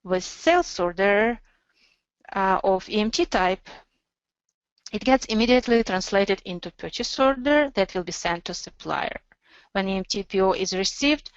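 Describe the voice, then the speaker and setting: Woman presenting a software demo, dry muffled sound. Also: mid-range voice, no room sound. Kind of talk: instructional software demo narration.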